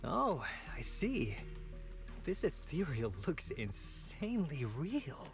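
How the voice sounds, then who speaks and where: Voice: mid-range voice, very animated, takes it slowly. Candid night watcher, anime game character, casual, curious, inquisitive, music underneath. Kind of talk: anime-style video game voice acting. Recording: music behind the voice. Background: background music.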